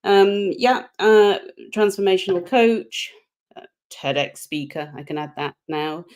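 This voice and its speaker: A woman's voice: mid-range voice, takes it slowly, darkish tone. A woman who grew up in the UK, a guest on a remote call, chatting casually with self-deprecating humor and frequent repeated words. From United Kingdom. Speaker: podcast guest, organizational psychologist. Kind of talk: casual interview chat.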